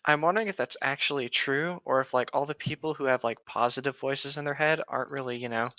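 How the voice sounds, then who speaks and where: A young man with a bright, smooth voice, reading aloud and tossing in casual asides. He is informal and jokey and trips over difficult words. The recording is close and dry, a little dull. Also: medium pitch, quick pace, no echo. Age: young adult. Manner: informal, joking, stumbles over hard words. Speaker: co-host reading fan fiction aloud. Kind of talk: casual group read-aloud with joking asides.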